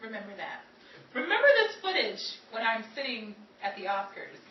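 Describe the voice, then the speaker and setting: A woman's voice: on the high side, very animated, normal speed, muffled recording. A woman who performs and hosts, chatting casually off script between takes. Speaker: performer and host of the show. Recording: echoey room, distant mic, noisy background.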